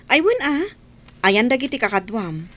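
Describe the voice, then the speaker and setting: A woman's voice: a little high, very animated, moderate pace. A woman with an Ilocano accent acting a nosy, exasperated office supervisor in a radio comedy drama. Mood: scolding. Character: bossy, nosy female office supervisor, exasperated with lazy cleaners.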